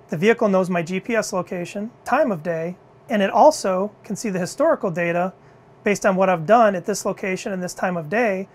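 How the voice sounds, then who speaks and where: A middle-aged man leading product innovation, presenting a car cockpit demo in a measured, clear, scripted way in an indoor demo room. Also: high-pitched, slight background noise. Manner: measured, clear explanatory delivery.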